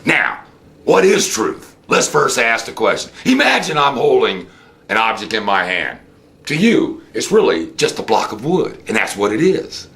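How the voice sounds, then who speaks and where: An American man giving an unscripted political monologue, theatrical in manner, with rhetorical questions and drawn-out emphasis. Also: mid-range voice, sing-song, creaky, a bit bright. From United States. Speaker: host of a political commentary show.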